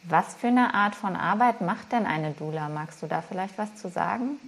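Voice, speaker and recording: A woman hosting a podcast, calmly introducing the episode and gently asking her guest questions. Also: lowish voice, moderate pace. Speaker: podcast host.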